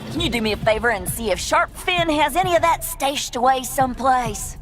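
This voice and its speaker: A woman's voice: high-pitched, lively intonation, fairly quick. A woman voicing a plucky, folksy, can-do female mechanic in a cartoon video game. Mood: brisk, encouraging. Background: music.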